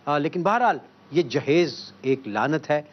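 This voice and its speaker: High-voiced teasing TV host, man. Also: expressive, measured pace. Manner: smooth and teasing, sets up jokes. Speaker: show host. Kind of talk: satirical TV show hosting. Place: TV studio. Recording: slightly dull TV broadcast sound, faint hiss.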